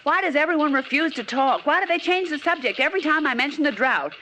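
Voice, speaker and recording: A woman's voice: sits high, animated, quick pace, sharp tone. An actress playing a persistent, impatient female reporter, pressing with insistent questions in 1940s radio serial style. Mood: defiant, insistent. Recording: faintly noisy vintage radio recording.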